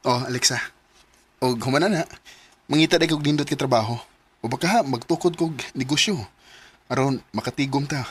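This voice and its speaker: An actor playing an ambitious, affectionate young boyfriend in a Filipino radio drama, with a Cebuano accent and an eager, sweet-talking manner. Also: male voice, mid-range voice, fairly quick, long pauses, breathy edge, natural voice. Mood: hopeful. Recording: noisy scene with background ambience.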